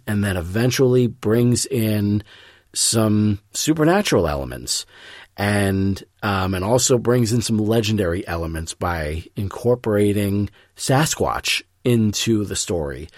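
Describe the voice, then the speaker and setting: A man with a fairly low voice introduces a show on his podcast. He speaks earnestly and effusively, a little haltingly, in a close, dry recording. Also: darkish tone, no room sound. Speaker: podcast host. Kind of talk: scripted-loose podcast introduction.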